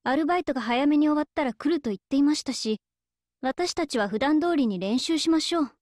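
A woman's voice: high-pitched, brisk, darkish tone, dry recording, clean studio sound, close to an everyday voice. A woman voicing a serious, strict and polite teenage schoolgirl in an anime game, formal and reserved. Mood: businesslike. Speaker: game character voice. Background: background music.

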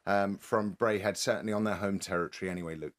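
A man's voice: deep voice, steady tone, fast talker. A man hosting a podcast, teasing his guests in joking casual chat. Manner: teasing, wisecracking. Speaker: podcast host.

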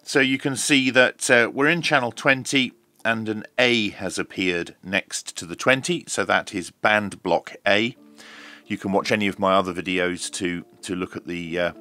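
A middle-aged man giving a measured, explanatory hands-on demonstration, like a reviewer talking through each step. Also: fairly low pitch, bright, forceful tone. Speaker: radio reviewer.

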